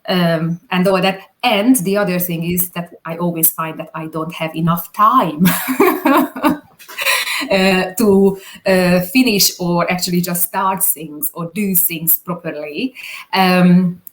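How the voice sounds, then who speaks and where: Slow, hesitant woman. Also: lowish voice, steady tone. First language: Hungarian. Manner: hesitant, many fillers and restarts. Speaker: guest, videographer. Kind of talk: interview answers.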